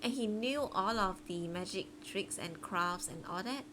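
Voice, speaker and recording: Female livestreamer: medium pitch, casual, chatty gameplay musing, noticeably noisy home recording. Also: measured pace. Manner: chatty, thinking aloud. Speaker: live streamer.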